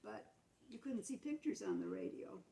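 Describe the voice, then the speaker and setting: A woman's voice: mid-range voice, lively intonation, normal speed, creaky, dark tone, slight background noise. An American woman in her eighties telling childhood memories to family in a casual, explanatory, matter-of-fact way. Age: 80s. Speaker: grandmother recalling her childhood.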